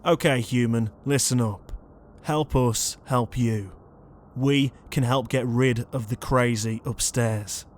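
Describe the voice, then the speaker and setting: Earnest, slow-paced ghost ringleader, played by a man. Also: medium pitch, fairly even intonation, close to an everyday voice. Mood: earnest, in charge. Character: earnest, bossy ghost ringleader, easily flustered.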